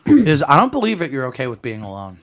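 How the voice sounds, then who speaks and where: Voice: mid-range voice, wildly swooping pitch, fast talker, a crowd in the background. A podcast co-host in casual chat, speaking in an informal, somewhat hesitant way.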